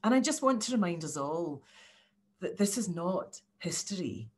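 Older woman from Scotland, low voice, earnest academic speaking on an online panel. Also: big pitch swings, normal speed, a little mellow. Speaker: university professor, panel speaker. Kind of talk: informal speech at an online launch. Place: living room at home, indoors. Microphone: video call.

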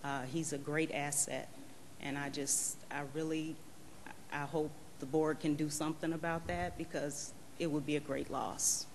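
Earnest mother pleading at a board meeting, halting delivery in a reverberant auditorium. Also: deep voice, flat delivery. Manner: earnest, hesitant with many fillers. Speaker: parent giving public comment. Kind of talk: public comment at a school board meeting. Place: large auditorium. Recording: reverberant hall, faint room noise.

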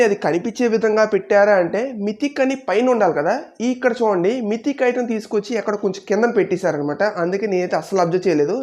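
A woman's voice: mid-range voice, dark tone. A woman with a Telugu accent giving casual, explanatory video game commentary straight to viewers, as a gaming YouTuber. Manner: explanatory, addresses viewers directly.

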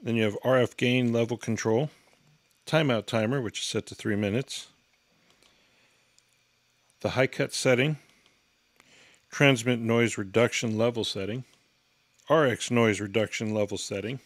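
A man's voice: mid-range voice, measured pace, long pauses, no echo. A man giving an instructional product walkthrough in a matter-of-fact, step-by-step way. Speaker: product reviewer and demonstrator.

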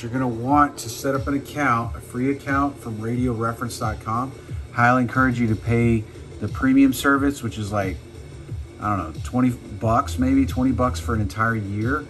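A man's voice: medium pitch, fairly bright tone. A man presenting an informal step-by-step how-to, punctuating the steps with short exclamations. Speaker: tutorial presenter. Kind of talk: casual step-by-step how-to narration. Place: indoor room, behind a handheld camera.

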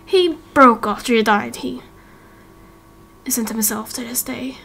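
Quiet, halting game-roleplay friend, voiced by a woman. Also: a little high, vocal fry, slight background noise, exaggerated voice. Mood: sad, halting. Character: subdued, hesitant roleplay friend, back from death. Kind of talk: hobby Minecraft roleplay acting.

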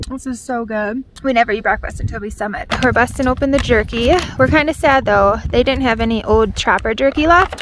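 A woman in her thirties with an American accent and a high voice talks casually to a handheld camera. She is chatty and upbeat, like a host on a trail. Loud outdoor noise runs behind her. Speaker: vlog host. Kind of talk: casual hiking vlog. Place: outdoors on an open mountain trail. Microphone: handheld camera at arm's length.

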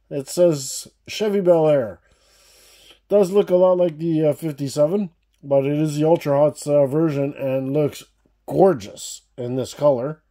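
Man, mellow voice, slight cough, chatty enthusiastic vlog host, casual unboxing. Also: a little high. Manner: enthusiastic, chatty, addresses viewers as guys. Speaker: diecast collector channel host. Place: indoor room with shelves of toy cars.

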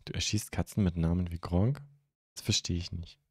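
A young man from Germany hosting a live stream, chatting casually and haltingly as he answers viewer comments into a close microphone. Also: sits low, steady tone, breathy edge, no room sound. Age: young adult. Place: indoor streaming room at home. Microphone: close microphone on a stand.

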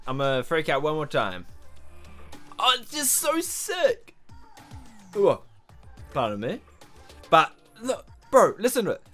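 A young man with a husky morning voice, hosting an excited, slangy music reaction vlog from a small bedroom on a studio mic. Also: fairly high pitch, measured pace, pauses often. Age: young adult. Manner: excitable, animated, exclamatory, slangy. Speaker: reaction channel host. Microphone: studio mic in front, headphones on.

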